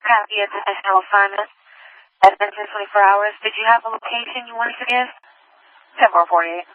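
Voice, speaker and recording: A woman's voice: mid-range voice, steady tone, a little fast, no echo. A woman working as a police dispatcher, speaking in clipped, procedural codes and times over a police radio. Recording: dull lo-fi police scanner radio audio.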